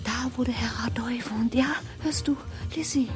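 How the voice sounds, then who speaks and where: A woman's voice: fairly high pitch, moderate pace, lightly acted. An actress playing a frightened, battered mother protecting her child in a radio drama. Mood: urgent whisper. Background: music.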